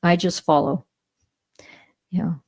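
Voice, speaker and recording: Gravelly-voiced Canadian woman. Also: fairly low pitch, takes it slowly, dry recording. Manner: animated, laughs often, many restarts. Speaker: podcast guest. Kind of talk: casual interview storytelling.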